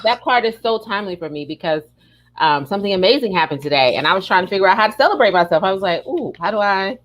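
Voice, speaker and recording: A woman hosting a casual live podcast, chatting and reading aloud in an expressive, emphatic way. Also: medium pitch, quick pace. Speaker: podcast host.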